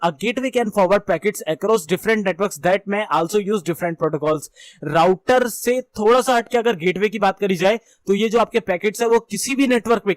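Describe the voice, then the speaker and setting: High-pitched Indian man teaching. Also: no room sound. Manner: conversational, repeats and rephrases points. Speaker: computer networking instructor. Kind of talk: informal explanatory lecture mixing Hindi and English.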